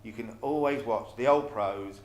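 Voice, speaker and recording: A man's voice: on the low side, normal speed. A middle-aged man in a casual interview about stage work, often repeating and restarting his phrases. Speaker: pantomime dame performer. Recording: echoey room with faint background noise.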